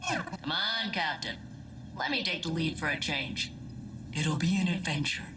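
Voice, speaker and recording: A woman's voice: sits low, animated, measured pace, recorded from a distance, background noise. A woman playing an eager, perky spaceship AI with wounded undertones in a video game, chirpy and theatrical, swinging between formal and chummy. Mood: eager, bossy. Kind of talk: scripted video game dialogue. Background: clear music.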